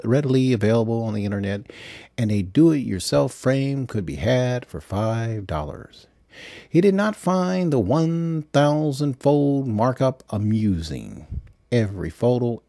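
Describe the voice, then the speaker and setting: A man's voice: mid-range voice, dark tone, dry recording, studio quality. A man reading a satirical novel as scripted narration, now and then stumbling and rereading a word. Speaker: audiobook narrator reading fiction.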